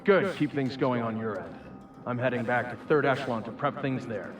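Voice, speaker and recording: An actor playing a ruthless intelligence director, curt and commanding, in a dramatic game cutscene. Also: male voice, medium pitch, normal speed, background noise, close to an everyday voice. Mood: brisk, businesslike. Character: brisk, cold, ruthless male intelligence director. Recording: roomy, distant voice under music.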